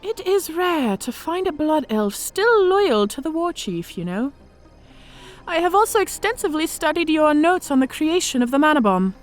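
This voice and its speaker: Woman acting a high-voiced, teasing orc centurion. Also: big pitch swings, soft background music. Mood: smooth flattery. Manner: mock-sweet, teasing, deliberate politeness. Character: smug female orc centurion, teasing and coolly menacing. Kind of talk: audio drama acting.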